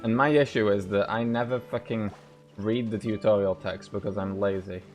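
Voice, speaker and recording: A man's voice: lowish voice, steady tone, moderate pace, soft background music. A man giving casual, sweary gameplay commentary as a streamer. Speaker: game streamer.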